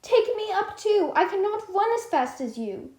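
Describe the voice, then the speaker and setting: A woman's voice: extremely high pitch, sing-song, moderate pace, mellow. A woman voicing a male frog in a well, speaking in a coaxing, bargaining way. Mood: pleading. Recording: roomy echo.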